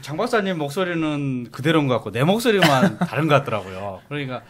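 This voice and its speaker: A man's voice: a little high, sing-song, quick pace, noisy background. A middle-aged man with a regional Korean dialect who speaks plainly as a regular podcast guest. He keeps his answers short. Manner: plain-spoken, brief answers, chuckles. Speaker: farmers' association chairman, podcast regular.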